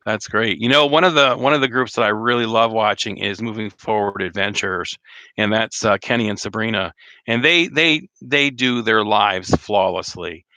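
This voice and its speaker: Chatty man, bright, slightly hoarse. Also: fairly low pitch, normal speed, no room sound. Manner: rambling, chatty, many fillers and restarts. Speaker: RV vlogger hosting a live chat. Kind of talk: casual live-stream chat.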